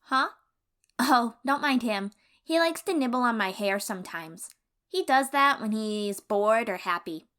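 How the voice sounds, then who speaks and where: Woman playing a bright, high-voiced, boastful young warrior. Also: unhurried. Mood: friendly, reassuring. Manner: eager, bragging, reassuring, expressive storyteller. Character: cheerful, boastful young female sea-dweller warrior knight. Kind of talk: audio roleplay addressed to the listener.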